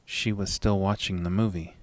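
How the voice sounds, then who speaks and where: A man reading a scripted first-person horror story in a dramatic, deliberate storytelling manner. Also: low-pitched, steady tone, no room sound. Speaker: narrator and lead of a horror audio story.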